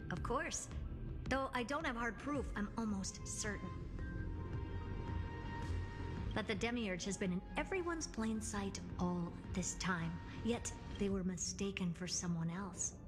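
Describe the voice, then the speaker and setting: An actress plays a shrewd young woman in a game story, speaking at a middle pitch. She is dry, defiant and sure of herself as she reasons things out. Her voice rings with long reverb over clear background music. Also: normal speed, lightly acted. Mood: determined, assured. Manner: dry, sardonic, self-assured. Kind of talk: acted video game dialogue.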